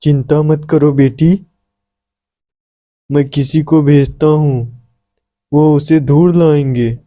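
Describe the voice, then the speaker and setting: A man playing a kind, reassuring elder landlord in a moral-story cartoon, calm and fatherly. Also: on the high side, takes it slowly, no room sound, studio quality.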